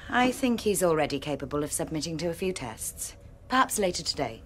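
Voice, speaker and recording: Female doctor: clinical, authoritative, lowish voice with wide swoops, faintly noisy radio drama. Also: big pitch swings. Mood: cool, clinical. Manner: precise, measured, clinical.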